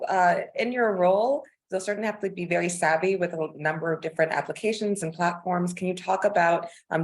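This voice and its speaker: Low-voiced woman moderating a forum.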